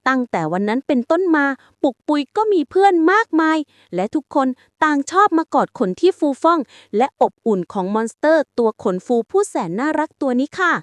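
A woman reading a bedtime story aloud in a slow, soothing way. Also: sits high, dry recording.

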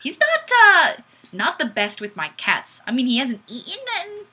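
A woman's voice: high-pitched, moderate pace, breathy edge. Bubbly young woman hosting a webcam livestream. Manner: bubbly and goofy, bursts of squealing excitement. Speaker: livestream host. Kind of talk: casual livestream chat reading comments. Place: small indoor room, plain wall. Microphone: webcam mic.

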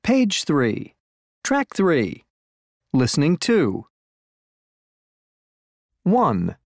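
A woman's voice: deep voice, wildly swooping pitch, very slow, no room sound, clean studio sound. A woman announcing exercise tracks in a scripted style.

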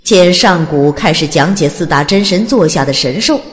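A woman's voice: fairly low pitch, expressive, normal speed, big-room sound. A woman narrating an audiobook as dramatic scripted storytelling.

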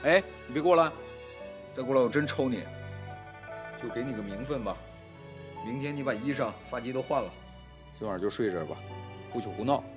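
A man's voice: medium pitch, animated, brisk, long pauses, close to an everyday voice. Flustered, harried household lord, played by a man. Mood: flustered scolding. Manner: exasperated, scolding, flustered. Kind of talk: audio drama acting. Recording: background music under the voice.

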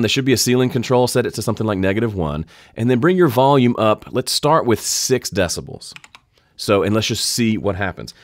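A man in his thirties with a slightly nasal voice, explaining podcast audio steps in a friendly, even tone, recorded close on a studio mic in his home studio. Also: on the low side, dry recording. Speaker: audio tutorial host. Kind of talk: screen-recorded software tutorial. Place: home studio with keyboards and guitars. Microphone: studio condenser mic close up.

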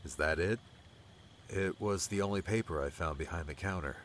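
A man's voice: deep voice, normal speed, fairly bright tone, a little hiss or hum. A man voicing a glib, impatient male adventurer in an audiobook, with a wry, sardonic manner.

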